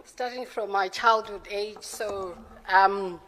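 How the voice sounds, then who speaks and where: A woman in her forties from Zimbabwe, answering formal interview questions in a measured, careful way and often correcting herself. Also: mid-range voice, fairly even intonation, a bit bright. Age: 40s. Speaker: judicial candidate being interviewed. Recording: reverberant hearing room.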